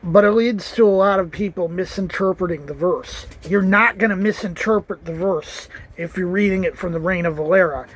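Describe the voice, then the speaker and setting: High-voiced man vlogging about Bibles. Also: normal speed, hardly any pauses, rough recording. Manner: earnest, rambling, with restarts and asides. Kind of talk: casual talk to camera.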